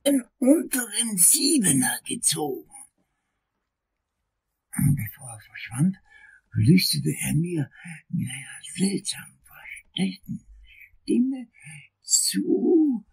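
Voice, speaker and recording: A man playing an anxious, long-winded lawyer in a crime radio play, telling his story in a halting, melodramatic, husky and creaky voice. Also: high-pitched, huge pitch swings, darkish tone, exaggerated voice. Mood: horrified. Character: anxious, long-winded male lawyer recounting a night attack.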